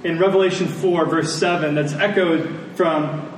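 A very reverberant room carries this American man's voice, picked up at some distance with faint noise behind it. He is a pastor giving a sermon in a conversational manner, breaking his thoughts into short, deliberate phrases. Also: a little high, fairly even intonation. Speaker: pastor preaching. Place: large church meeting room with congregation. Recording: echoey hall, distant mic, faint noise.

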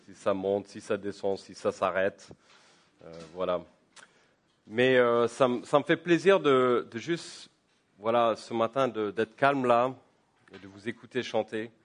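A pastor gives a sermon to his congregation while he has a head cold, at a middling pitch for a man. His delivery is conversational and full of small restarts and fillers. At its peaks it rises in pitch and loudness. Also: unhurried. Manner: conversational, with frequent fillers and repeated words; rises in pitch and volume when building to a point. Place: church.

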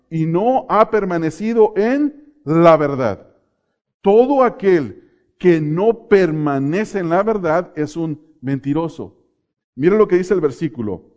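A middle-aged Mexican-born man delivers a sermon in a fairly high voice. He asks his listeners questions, then answers them himself. He drags out the key phrases for weight. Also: darkish tone. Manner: slows down to stress key phrases. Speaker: preacher.

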